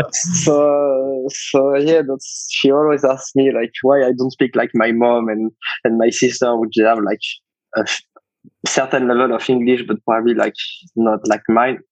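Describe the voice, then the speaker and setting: A man's voice: medium pitch, fairly even intonation, measured pace, dry recording, poor audio. A French man with a French accent, a chef guest in a casual podcast interview, speaking hesitant English that he is still searching for. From France.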